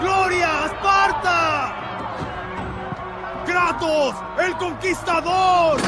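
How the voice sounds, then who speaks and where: A man's voice: very high voice, drawn-out words, shouting, music in the background, a crowd in the background, exaggerated voice. A man playing a fervent Spartan who hails his conquering king in a video game dub. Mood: exultant.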